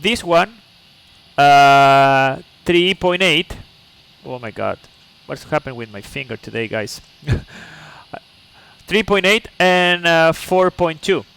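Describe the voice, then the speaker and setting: A man's voice: fairly high pitch, animated, takes it slowly, gravelly, fairly bright tone, clean tone, poor audio. A man from Chile, speaking English, streams a flight sim live. He explains each step patiently, checks in with "okay?", and chats with viewers. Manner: chatty asides to viewers.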